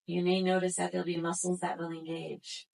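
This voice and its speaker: A woman instructor talks a class through floor stretches and breathing. Her voice sits a little low, and she gives each cue slowly and gently in a soothing way. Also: little pitch movement, creaky, poor audio. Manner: slow, gentle, soothing cues. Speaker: yoga instructor. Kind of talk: guided exercise instruction.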